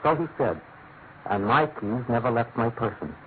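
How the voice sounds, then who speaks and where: A man's voice: medium pitch, animated, moderate pace, lightly acted. Man acting troubled British clerk, tinny old radio. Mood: defensive, troubled. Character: troubled, formal male senior government clerk, deferential. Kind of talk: classic radio drama acting. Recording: noisy, narrow-band old radio recording.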